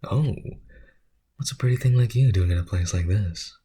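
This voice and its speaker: A man voicing a sleazy, smug alien seducer in an audio roleplay, husky and breathy, with a suggestive drawl. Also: on the low side, moderate pace, very noisy, natural voice. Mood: seductive, smug.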